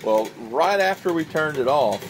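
A man's voice: on the high side, moderate pace, slight background noise. Chatty middle-aged man outdoors at night. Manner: relaxed, chatty, loose storytelling. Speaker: vlogger on a baseball road trip. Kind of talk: casual chat. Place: outdoors beside a ballpark brick wall at night.

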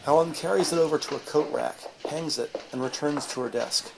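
A man's voice: a little high, a little fast, vocal fry, background noise. A man narrating a scripted audio drama in a descriptive storytelling style.

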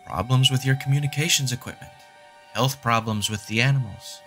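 A man's voice: mid-range voice, fairly quick, fairly bright tone, soft background music, close to an everyday voice. A man playing a pointed, skeptical inspector in an audio drama. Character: pointed, skeptical male inspector questioning park problems.

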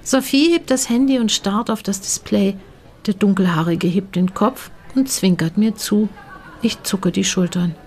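A woman's voice: mid-range voice, unhurried, a little mellow. Woman narrating a short story. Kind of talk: scripted first-person story narration with acted dialogue.

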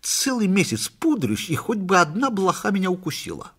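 A man voicing a gullible, boastful everyman in a comic story, exclaiming emphatically in a husky voice. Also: high-pitched, wildly swooping pitch, normal speed, fairly bright tone, voice pushed up, heavily acted. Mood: smug, triumphant.

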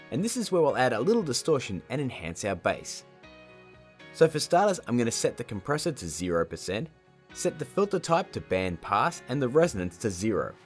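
Chatty guy in his 30s doing a tutorial, really animated voice, music behind. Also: medium pitch. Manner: playful, chatty, informal. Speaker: tutorial host. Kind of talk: casual scripted video tutorial.

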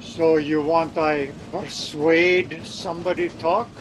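Man playing devoted foreign heavy, broken English, solemn, unhurried, radio mystery, faint car noise. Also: fairly high pitch, flat delivery. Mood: solemn, loyal. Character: fiercely loyal foreign henchman speaking broken English. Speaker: radio play actor. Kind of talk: radio drama acting. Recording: noisy audio from inside a car.

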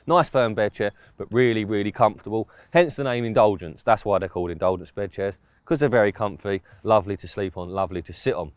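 A man's voice: fairly low pitch, smooth, no echo. A man, talking casually through a product review while standing outdoors in woodland. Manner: chatty, informal, explains specs step by step. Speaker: fishing gear reviewer.

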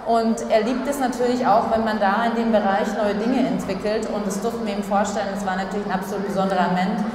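Enthusiastic woman in a booming hall. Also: fast talker, few pauses. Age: 30s. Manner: lively, enthusiastic storytelling. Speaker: athlete guest. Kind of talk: stage interview. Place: large sports hall, indoors. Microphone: handheld mic. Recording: distant echoey hall with crowd noise.

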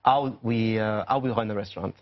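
A man, a chef and restaurant owner, answering questions in a TV news studio, pausing often with fillers. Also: fairly low pitch, highs cut off. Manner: hesitant, many fillers and restarts. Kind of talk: studio news interview guest.

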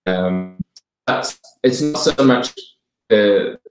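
A man's voice: on the low side, lively intonation. A man in his forties with a New Zealand accent, a brand co-founder talking eagerly and laughing often in a video call interview from home. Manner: enthusiastic, quick, laughs often. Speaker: fragrance brand co-founder, guest. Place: home room, indoors. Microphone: video call webcam mic. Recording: roomy echoey home room.

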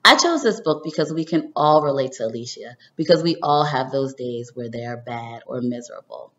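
A woman in her thirties, a teacher doing an expressive read-aloud for children, recorded indoors on a webcam. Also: extremely low pitch, brisk. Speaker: teacher reading a picture book to children. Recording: dull, roomy home webcam recording.

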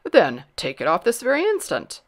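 A woman voicing a pompous, angry king from a storybook, loud, commanding and indignant. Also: on the low side, big pitch swings, normal speed, exaggerated voice. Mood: angry, demanding. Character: pompous, furious king demanding obedience. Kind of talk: dramatic storybook character voice.

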